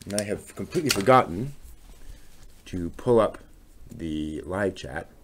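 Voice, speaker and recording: A man's voice: deep voice, animated, a little slow. A man talking casually through a hands-on demonstration on a live stream, tentative and thinking aloud, often trailing off. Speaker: host of a live craft stream.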